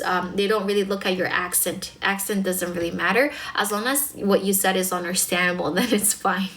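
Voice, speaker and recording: A woman's voice: medium pitch, a bit bright. A young woman with a North American accent and Chinese as her first language, chatting casually and encouragingly with her livestream viewers as an English tutor, with plenty of "um" and "like". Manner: chatty, encouraging, filler-heavy.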